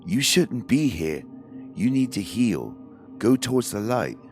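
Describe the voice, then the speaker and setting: A man's voice: medium pitch, big pitch swings, slow, soft background music, voice pushed up. A man voicing an English female housekeeper in a ghost story, with a put-on English accent. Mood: exasperated, earnest.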